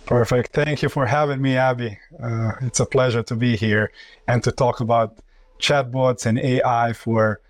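A man's voice: medium pitch, steady tone, normal speed, no room sound. Croatian-accented man, dry close recording. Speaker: company CEO, podcast guest. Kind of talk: conversational interview answers.

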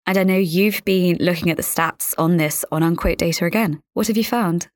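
A woman speaking as a podcast host, measured and articulate, in a presenting and interviewing style. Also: on the low side, dry recording. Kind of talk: scripted podcast narration and interviewing.

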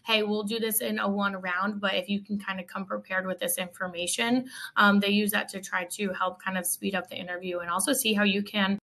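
A woman's voice: medium pitch, little pitch movement, a little fast, vocal fry, few pauses, sharp tone. A woman speaking conversationally as a recruiter guest, offering practical advice.

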